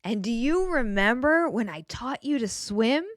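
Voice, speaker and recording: A woman reading a children's picture book aloud in a gentle, expressive way, pausing to put questions to the young listener. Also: fairly high pitch, dry recording, clean studio sound. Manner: gentle, expressive, clearly enunciated storybook delivery.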